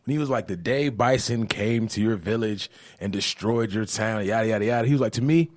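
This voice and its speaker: A young man with a slightly low voice guests on a podcast. His talk is casual and conversational, full of joking asides. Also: a little fast. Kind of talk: casual banter.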